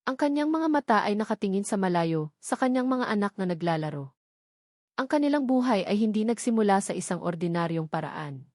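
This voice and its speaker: A woman narrates a romance story from a script. Her voice sits at an average pitch for a woman. The sound is very dry, with no echo around the words. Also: animated, brisk, a little mellow.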